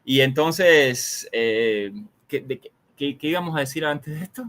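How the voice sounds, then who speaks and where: A man's voice: a little high, a little slow, a bit bright. A man with a Cuban accent speaking as an interview guest, reflective and discursive, emphatically building lists and repeating words. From Cuba. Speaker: interview guest, magazine editor. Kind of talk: conversational political and cultural reflection.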